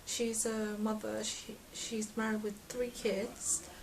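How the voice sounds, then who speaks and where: A twenty-year-old woman casually introducing herself, halting and hesitant, with many "like"s. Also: medium pitch, little pitch movement, creaky, noisy background. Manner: hesitant, halting, filler-heavy. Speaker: radio drama actress.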